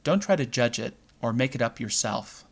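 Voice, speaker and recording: A man with a mid-pitched voice leads a guided visualization meditation. He delivers it in measured, deliberate phrases, leaving space between them as a meditation guide does. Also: lively intonation, breathy edge.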